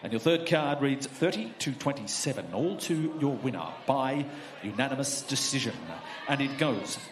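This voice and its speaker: Theatrical cage announcer, arena echo and crowd noise behind. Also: male voice, mid-range voice, lively intonation. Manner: theatrical, drawn-out shouts. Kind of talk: booming ring announcing.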